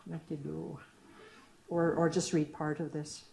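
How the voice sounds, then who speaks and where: Low, mellow-voiced woman poet reading aloud. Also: level tone, unhurried, vocal fry, a little hiss or hum. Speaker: poet reading her work. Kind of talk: poetry reading. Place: lecture room at a reading. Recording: echoey room.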